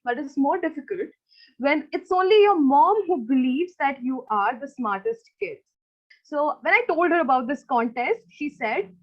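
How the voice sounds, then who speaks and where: High-voiced young Indian woman, dull audio. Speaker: storytelling contestant. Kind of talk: stand-up comic storytelling.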